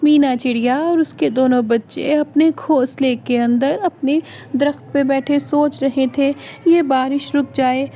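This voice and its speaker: A woman narrating a children's cartoon story in a slow, dramatic storytelling manner. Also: sits high, steady tone, dark tone, slight background noise.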